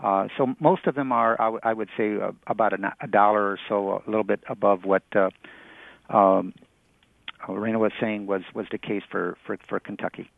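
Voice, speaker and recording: A man, an economics expert, giving halting interview answers with repeated words over a phone-like line. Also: fairly low pitch, steady tone, creaky, no room sound. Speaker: economics professor, radio guest. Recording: narrow phone-like radio line.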